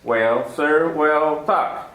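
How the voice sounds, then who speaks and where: An actor playing a genial, wordy middle-aged crook who talks formally and flatters, in 1940s radio style. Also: male voice, on the high side, very slow, exaggerated voice. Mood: smooth, scheming. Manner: florid, flattering, overly formal with many sirs. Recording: roomy echo.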